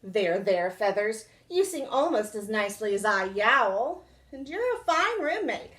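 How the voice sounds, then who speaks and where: A woman's voice: mid-range voice, big pitch swings, a little slow, fairly bright tone, reverberant. A woman voicing a proud, self-important old male cat in a children's storybook, speaking formally and condescendingly. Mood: condescending consolation. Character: proud, smug, oldest male house cat.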